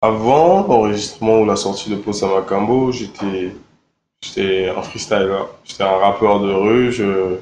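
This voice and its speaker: A young man from Congo answering interview questions in a relaxed, halting way, with many fillers and repeated words. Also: on the low side, slight background noise. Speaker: rapper interviewed. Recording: echoey room with faint ambience.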